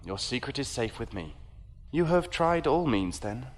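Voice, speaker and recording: A man voicing a sly older male stranger who talks in a genial, knowing, soft way. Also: mid-range voice, very animated, normal speed.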